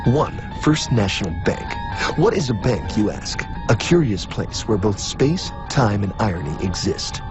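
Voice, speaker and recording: A man's voice: lowish voice, big pitch swings. A man doing a pompous, lecturing cartoon narrator. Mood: solemn. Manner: formal, lecturing, dramatic pauses. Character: solemn, pompous male mystery-show narrator. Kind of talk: cartoon voice acting. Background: music.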